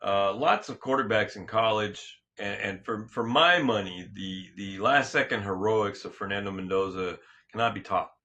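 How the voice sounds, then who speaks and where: A man hosting a casual sports talk show, slightly hoarse, rambling and joking with frequent restarts and self-corrections. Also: lowish voice, normal speed, bright, forceful tone, muffled recording. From California. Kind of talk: casual solo sports and politics chat.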